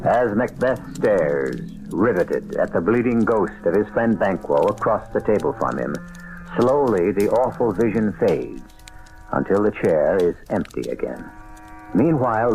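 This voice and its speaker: A male narrator reads in a low voice with grave, literary phrasing. It has the measured style of classic radio drama, with music playing clearly underneath. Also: a little mellow, rough recording.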